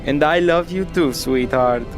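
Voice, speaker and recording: A man's voice: on the high side, a little slow, music in the background, close to an everyday voice. A man playing a devoted, gallant and protective father and pilot in an audio drama. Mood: tender.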